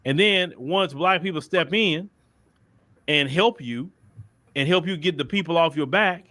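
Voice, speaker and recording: A man's voice: fairly high pitch, unhurried, sharp tone, no room sound. A man with a Southern Texas accent giving an emphatic, colloquial opinion monologue, often repeating phrases and acting out mock dialogue. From Port Arthur, Texas. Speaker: commentator.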